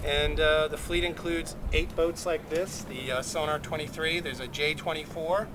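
A man's voice: fairly high pitch, normal speed. Older man talking outdoors on a windy dock. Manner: relaxed, informative, with frequent ums and uhs. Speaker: sailing school representative. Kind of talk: on-camera interview. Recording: distant mic with loud wind and water noise.

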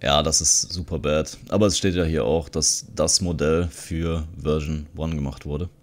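Low-pitched man, casual tech presenter. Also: fairly even intonation, moderate pace. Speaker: video host, content creator. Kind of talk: casual screen-recording tutorial commentary.

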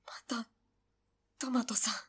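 A woman playing a fragile but stubbornly devoted young girl in an audio drama, breathy and hesitant. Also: lightly acted. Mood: numb, halting. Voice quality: breathy, whispery. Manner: hesitant, halting.

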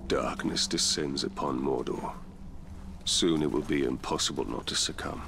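An actor playing a grim, solemn ranger hero in a video game, speaking in a grave, formal, measured way. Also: male voice, a bass voice, fairly even intonation, creaky, background noise. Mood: grave resolve. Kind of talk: video game character dialogue.

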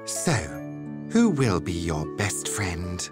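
A man playing a kindly, patient grown-up of a royal household in a cartoon, softly sympathetic in manner. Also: deep voice, huge pitch swings, slow, over music, full character voice.

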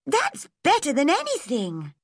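A woman's voice: sits high, huge pitch swings, measured pace, no room sound, studio quality, pitched above the performer's own voice, full character voice. A woman voicing an eager, earnest teenage girl full of hope.

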